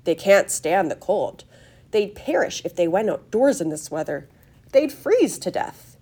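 A woman voicing an excitable, eccentric old male factory owner in a storybook, high and fluty, with effusive, gushing exclamations. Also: measured pace, husky. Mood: brisk, cheery.

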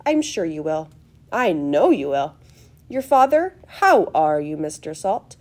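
A woman voicing an excitable, eccentric old male factory owner in a storybook, high and fluty, with effusive, gushing exclamations. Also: measured pace. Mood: gushing delight.

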